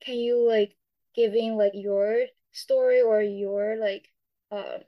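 A woman's voice: mid-range voice, steady tone, slow pace, lo-fi sound. A young woman hosting a podcast, asking questions in a hesitant way full of fillers. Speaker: podcast host. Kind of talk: podcast interview.

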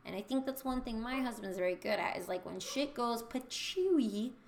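A woman's voice: fairly high pitch, lively intonation, normal speed, vocal fry, slight background noise. Candid, swearing podcast woman. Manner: candid, swears freely, reads listener replies aloud. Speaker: podcast co-host. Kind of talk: casual chat.

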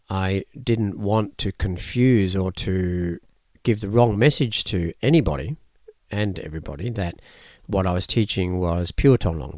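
A man whose first language is Cantonese, a martial arts teacher explaining his teaching in a thoughtful interview, often pausing and restarting. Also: low voice, darkish tone, no echo, clean studio sound. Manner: measured, thoughtful, with frequent fillers and restarts. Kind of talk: conversational interview answers.

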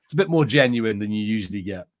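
A man's voice: on the low side, lively intonation, fast, dry recording, studio quality. A man with an English accent co-hosting a podcast, talking casually in a film and TV review discussion. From England. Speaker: podcast co-host.

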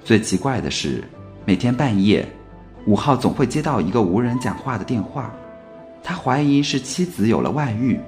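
A man's voice: medium pitch, expressive, moderate pace, a little mellow, soft background music. A man narrating a scripted story for an amateur radio play.

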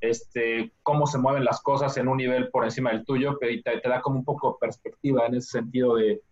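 A man from Mexico City with a Mexican accent, a guest telling his life story in a relaxed interview, full of filler words. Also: medium pitch, fairly quick. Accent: Mexican Spanish. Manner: many fillers like "este" and "¿no?". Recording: dull lo-fi audio.

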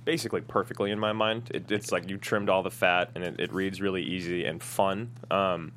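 Deep-voiced man chatting on a panel. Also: steady tone, brisk, a bit bright, a little hiss or hum. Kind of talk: casual panel chat.

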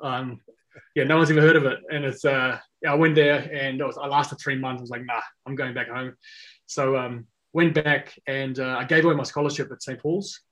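Relaxed man, casual. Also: mid-range voice, a little fast, rough recording. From South Africa. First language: Afrikaans. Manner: rambling, many fillers and restarts. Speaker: guest. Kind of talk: casual podcast chat.

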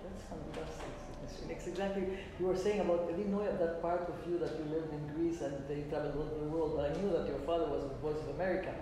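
A woman's voice: low-pitched, fairly even intonation, quick pace, hardly any pauses. A woman interviewing in a casual, rambling, enthusiastic way, readily affirming what her guest says. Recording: echoey hall, distant mic, faint noise.

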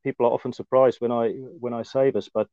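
A man in his thirties from South Africa with an Afrikaans accent, speaking as an interview guest in a relaxed, hesitant way with many fillers. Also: medium pitch, fast talker, smooth, dry recording, dull audio. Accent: Afrikaans-accented South African English. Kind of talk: casual conversational interview.

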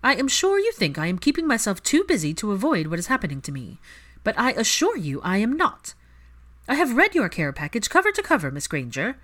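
A woman voicing an aloof, proud aristocratic mother in an audiobook story, speaking in a measured, emphatic, haughty manner. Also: on the high side, wildly swooping pitch, a bit bright, voice pushed up, heavily acted. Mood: haughty, defiant.

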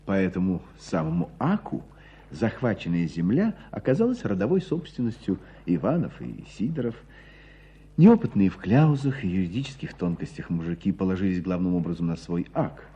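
An actor playing a wry storyteller narrating a radio drama in a husky voice. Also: male voice, deep voice, big pitch swings, moderate pace, dark tone, full character voice. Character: wry storyteller recounting a provincial dispute. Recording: dull radio drama recording.

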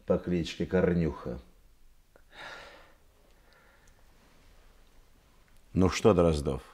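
A shrewd Soviet detective in a radio play, with a low voice that swings widely. He is commanding and deliberate, with dry irony. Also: a little mellow, exaggerated voice. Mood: dry, triumphant. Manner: deliberate, commanding, dryly ironic. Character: shrewd, composed Soviet police detective interrogating suspects. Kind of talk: radio drama acting.

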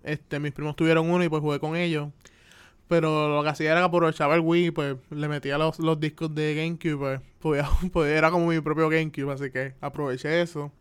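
Man, 20s, Puerto Rican accent, podcast host, casual chat, very smooth voice, slightly high. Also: level tone, quick pace. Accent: Puerto Rican Spanish. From Puerto Rico. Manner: chatty, with frequent "este" and "pues" fillers. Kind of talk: casual solo podcast monologue.

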